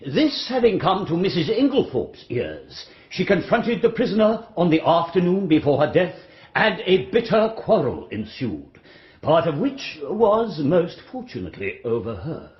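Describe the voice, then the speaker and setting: An actor playing a formal, long-winded prosecuting barrister in a radio drama, oratorical and pedantic in manner. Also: male voice, on the high side, sing-song. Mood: grave, accusing. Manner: oratorical, measured, pedantic exposition. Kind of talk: radio courtroom drama acting. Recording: dull, roomy radio drama recording.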